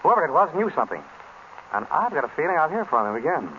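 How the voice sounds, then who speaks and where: An actor playing a wry, unflappable private detective in an old radio drama, with casual, clipped banter. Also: male voice, on the high side, big pitch swings, fast. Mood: thoughtful, confident. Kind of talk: 1930s-40s radio drama acting. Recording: muffled old radio recording, faint noise.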